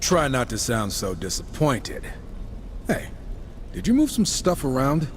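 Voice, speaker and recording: A man's voice: mid-range voice, wildly swooping pitch, unhurried, a little hiss or hum. An actor playing a cocky, irreverent young horseman in a video game, casual, teasing and sarcastic. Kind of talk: video game cutscene acting.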